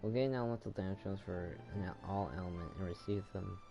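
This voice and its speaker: A man's voice: deep voice, fairly quick, creaky, lo-fi sound, music in the background. A man giving casual gameplay commentary, rambling as he thinks aloud to himself. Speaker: video game streamer.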